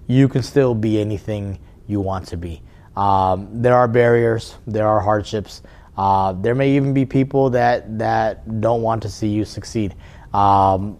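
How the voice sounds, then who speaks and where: A man from Colombia, a motivational speaker, giving steady, reflective interview answers. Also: on the low side.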